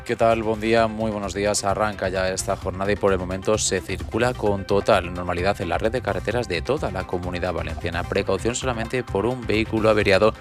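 A man's voice: fairly low pitch, fairly quick, hardly any pauses, over music. A man with an accent from Spain giving a live radio traffic report.

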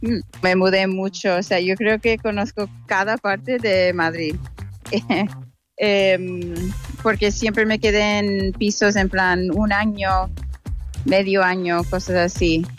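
A woman's voice: mid-range voice, fairly even intonation, slow, faint music behind. A woman from the United States with an American accent, a native English speaker, chatting casually in an interview and sometimes searching for words. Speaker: interviewee, content creator.

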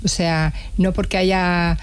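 A woman's voice: lowish voice, level tone, slow, clean tone, no echo. A woman with an accent from Spain, a musician giving reflective, conversational interview answers and rephrasing as she goes. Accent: Spanish from Spain.

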